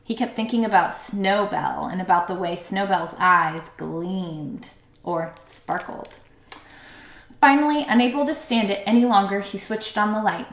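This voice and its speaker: A woman's voice: medium pitch, a little slow, echoey room. A woman teacher reading a children's story aloud as narrator.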